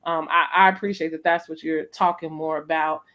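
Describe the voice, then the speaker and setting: American woman, lower-pitched, hosting reflective chat. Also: flat delivery, fairly quick, sharp tone. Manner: emphatic, often checks in with "right?". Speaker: host of a family storytime conversation. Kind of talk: casual reflective conversational interview.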